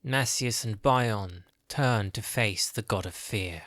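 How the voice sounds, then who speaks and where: A man's voice: lowish voice, takes it slowly, fairly bright tone, no echo. A man narrating a scripted fantasy story.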